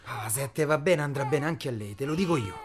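A man's voice: mid-range voice, expressive, fast talker, lightly acted. A man playing a coarse, pragmatic, pushy henchman in a radio drama, with a southern Italian accent and colloquial speech. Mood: pressing. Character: rough, pragmatic henchman called Toto, cynical and pushy.

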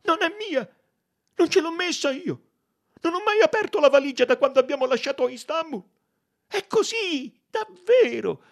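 A man's voice: sits high, moderate pace, darkish tone, pitched above the performer's own voice. A man voicing an anxious, easily flustered German servant woman in a novel, stammering and pleading. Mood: panicked.

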